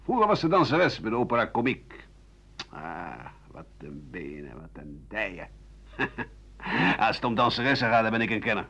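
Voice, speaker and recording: An older, embittered man of letters in a radio drama monologue. His voice is low and rough-edged, rambling from muttering to sardonic declaiming. The recording is somewhat dull. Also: sing-song, normal speed, pushed and bright, exaggerated voice. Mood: wry, relishing. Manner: rambling, bitter, sardonic, with muttering and dry chuckles. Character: embittered ageing French writer-doctor recalling his wretched life. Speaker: actor in a radio play.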